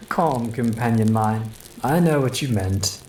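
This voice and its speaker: An actor playing an obsessive, pompous grave robber in a horror audio drama, speaking in a lofty, theatrical, formal way. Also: male voice, medium pitch, animated, slow, darkish tone, slight background noise, rough recording. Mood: aloof, amused.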